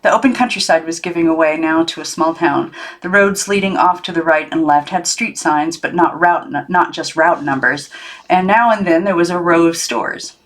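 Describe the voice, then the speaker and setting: A woman reading a children's novel aloud as an audiobook narrator, in an expressive storybook style. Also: lowish voice, moderate pace, low-quality recording. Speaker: audiobook reader.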